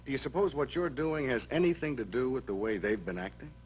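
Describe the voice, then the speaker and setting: An actor playing an army social work specialist who asks calm, probing questions. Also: male voice, mid-range voice, a little fast, lo-fi sound, a little hiss or hum, close to an everyday voice. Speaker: interviewer. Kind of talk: 1960s educational film acting.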